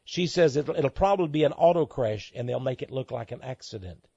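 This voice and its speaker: Male radio preacher type, impassioned and repetitive for emphasis, very animated delivery, dry but slightly muffled sound. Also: medium pitch, a little fast, vocal fry, slightly rough, no echo. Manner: emphatic, dramatic, repeats phrases for effect. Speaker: talk show host and preacher. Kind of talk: impassioned monologue to listeners.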